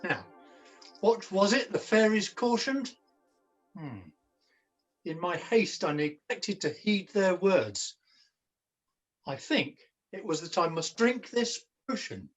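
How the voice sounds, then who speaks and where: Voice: medium pitch, expressive, slow, pauses often, soft background music. An amateur actor playing a melancholy, gullible pantomime jester with a theatrical, declamatory delivery, recorded at home over a video call. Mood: musing. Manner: theatrical, declamatory, archaic wording.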